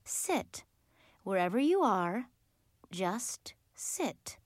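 A woman narrator plays a dreamy, earnest hippie woman who guides children in an audiobook. She speaks in slow, deliberate phrases with a soothing manner, and her pitch moves around freely in a close, dry recording. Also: sing-song, no echo. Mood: hushed, firm.

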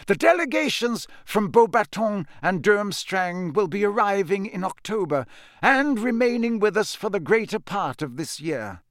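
A man playing an elderly, kindly and authoritative wizard headmaster giving a formal, measured address in a storybook reading. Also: high voice, no echo, studio quality, pitched above the performer's own voice, heavily acted. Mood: courteous, brisk.

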